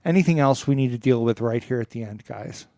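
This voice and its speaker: A man hosting a comedy podcast in a casual, deadpan way with a deliberate, halting delivery. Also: mid-range voice, dry recording. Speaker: podcast host.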